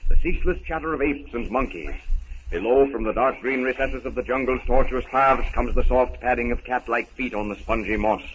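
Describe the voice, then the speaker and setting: A man's voice: medium pitch, a little fast, lo-fi sound. A man giving florid, dramatic scripted narration in the manner of a 1930s radio adventure serial. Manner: dramatic, declamatory storytelling. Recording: scratchy, band-limited 1930s radio recording.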